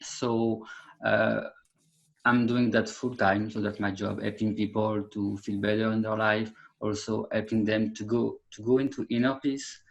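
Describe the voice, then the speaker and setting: A man, a therapist co-hosting a video call, earnest and halting with fillers. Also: on the low side, flat delivery, vocal fry, lo-fi sound. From France. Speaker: therapist, co-host. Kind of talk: casual self-introduction.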